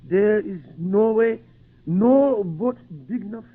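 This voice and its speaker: Eager devoted island servant, highish voice, broken english, crackly narrow old radio show sound. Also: male voice, slow pace. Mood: gentle, hopeful. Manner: broken, simple English with dropped words. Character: eager, devoted native islander servant man, humble and gentle. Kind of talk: old-time radio drama acting. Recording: tinny narrow-band old radio recording.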